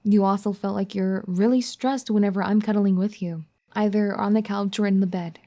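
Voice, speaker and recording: A woman voicing a firm but caring young wife who scolds and then gently pleads in an audio roleplay. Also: mid-range voice, fairly even intonation, normal speed, close to an everyday voice. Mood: scolding, sassy. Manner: bossy teasing scolding that turns gentle and pleading. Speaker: ASMR roleplay performer. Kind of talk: audio roleplay addressed to the listener.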